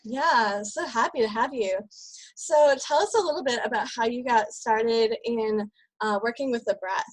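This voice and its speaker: A woman's voice: fairly high pitch, moderate pace, no echo, rough recording. A woman hosting an online interview, introducing her guest and asking questions in a welcoming manner. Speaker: summit host.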